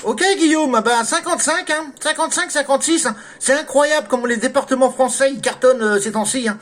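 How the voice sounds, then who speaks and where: A man with a high voice talks as an amateur radio operator. His style is casual and conversational, and he often closes his phrases with short tag words. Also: brisk, fairly bright tone. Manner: frequent "hein" and "là" tags. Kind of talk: casual radio contact chat. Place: at home radio station. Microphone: desk microphone through a mixer.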